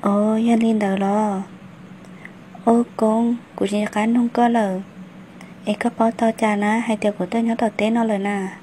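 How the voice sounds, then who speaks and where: Woman doing a village gal in a cartoon, highish mellow voice, phone mic, bit of music behind. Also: level tone, moderate pace, slight background noise, natural voice. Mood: hushed. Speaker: voice actor. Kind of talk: amateur cartoon voice acting. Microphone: phone microphone.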